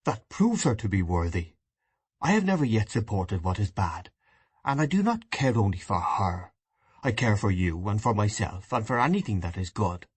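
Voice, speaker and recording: A man's voice: fairly low pitch, big pitch swings, normal speed, slightly rough, dry recording, pitched below the performer's own voice. A man doing an earnest young son. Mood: grave. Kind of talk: audiobook dialogue reading.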